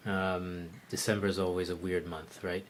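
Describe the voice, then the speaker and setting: A man teaching the oud in a live online lesson, answering viewer questions in a relaxed, conversational way, thinking aloud with frequent fillers and restarts. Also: sits low, normal speed.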